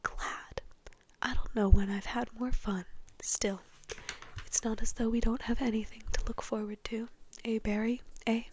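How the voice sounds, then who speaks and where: A woman voicing a jovial, carefree wizard man in a breathy whisper, chummy and breezy, for a storybook reading. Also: a little high, steady tone, measured pace, darkish tone, slight background noise, lightly acted. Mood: cheerful.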